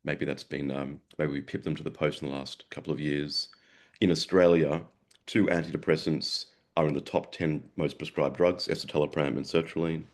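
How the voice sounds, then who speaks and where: A man with a sore throat and a gravelly voice, giving a webinar lecture over a video call, halting and repeating words as he goes. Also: rumbling low, fairly even intonation, darkish tone. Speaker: guest presenter, psychiatrist.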